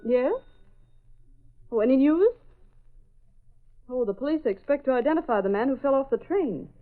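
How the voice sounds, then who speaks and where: An actress playing an efficient, skeptical newspaper secretary with a crisp manner in an old radio drama. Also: female voice, high-pitched, animated, normal speed. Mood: businesslike. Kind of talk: 1930s-40s radio acting. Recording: tinny phone-like old radio recording.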